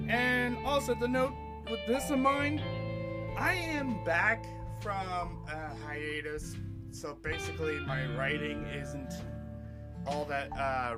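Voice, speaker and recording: A man hosting an audio play podcast, reading his own writing theatrically with a halting, dramatic delivery. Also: high voice.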